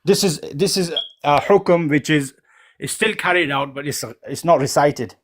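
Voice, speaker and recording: A man arguing in a heated debate, talking quickly, tripping over and repeating his words, with a mocking edge. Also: on the high side, slight background noise. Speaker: debate opponent.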